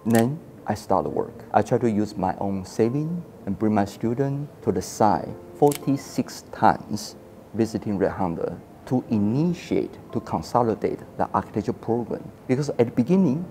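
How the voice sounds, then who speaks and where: Animated man in his forties, mellow voice. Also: mid-range voice, moderate pace, slight background noise. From Taiwan. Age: 40s. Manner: animated storyteller acting out quoted dialogue; laughs often. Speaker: architecture historian and guest. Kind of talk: casual interview.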